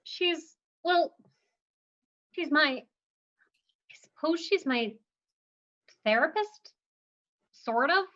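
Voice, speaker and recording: A woman's voice: high-pitched, animated, extremely slow, pauses often, sharp tone, highs cut off, full character voice. Woman playing a dry, exasperated young woman. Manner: dry, sarcastic, dismissive.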